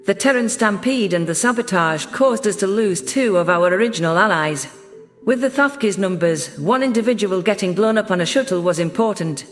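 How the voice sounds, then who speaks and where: A man reading first-person science fiction narration in a steady, measured, scripted audiobook style. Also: high voice.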